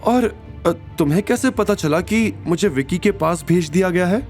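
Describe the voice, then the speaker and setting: A man's voice: high-pitched, animated, normal speed, a little mellow, soft background music, pitched above the performer's own voice. A man voicing an emotional, wronged young woman in an audio drama, pleading and bitter. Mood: curious.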